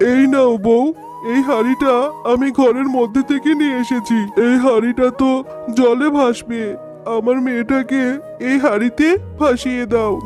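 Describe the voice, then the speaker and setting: A devoted, troubled village father from a cartoon, played by a man, with an unusually high voice. He is poor, earnest and consoling, and music plays underneath. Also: a little mellow. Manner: earnest, reassuring. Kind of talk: cartoon dialogue. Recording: music behind the voice.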